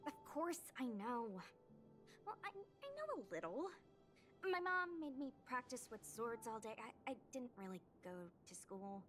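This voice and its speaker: A woman's voice: a little high, big pitch swings, a little slow, fairly bright tone. A woman voicing a curious, blunt young warrior girl in a video game, speaking in a casual, unschooled way. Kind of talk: video game character dialogue. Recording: background music under the voice.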